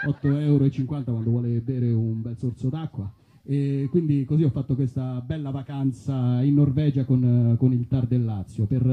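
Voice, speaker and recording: A man from Italy performing live stand-up, telling stories in a hesitant, deadpan way on a muffled stage recording. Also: medium pitch. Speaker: stand-up comedian. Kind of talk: live stand-up comedy monologue. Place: festival stage outdoors among olive trees.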